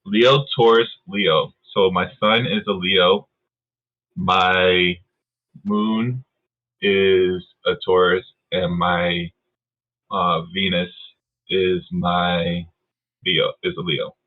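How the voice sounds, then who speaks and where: A deep-voiced man being interviewed as a streamer, easygoing and quick to laugh, chatting casually. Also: steady tone, phone-quality sound. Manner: easygoing, laughs often, does impressions. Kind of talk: casual chat.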